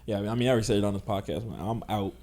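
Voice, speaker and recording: Fast-talking young man from Jamaica. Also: on the low side. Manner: relaxed, supportive, quick to laugh. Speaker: podcast host. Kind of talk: casual podcast conversation.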